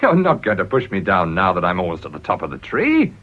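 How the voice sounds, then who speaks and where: A man's voice: low voice, huge pitch swings, a little fast, fairly bright tone, heavily acted. An actor playing a ruthless, desperate middle-aged businessman in a radio drama, with a British accent and a blustering, wheedling delivery. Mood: anxious bargaining. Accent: British English. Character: ruthless, desperate, smooth-talking male businessman and political climber. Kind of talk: radio play acting. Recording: dull, muffled old radio recording.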